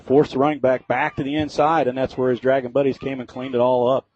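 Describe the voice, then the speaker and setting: A man's voice: mid-range voice, flat delivery, fast, a little mellow. An American man giving informal, folksy color commentary during a live football broadcast. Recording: slightly dull, lo-fi radio sound.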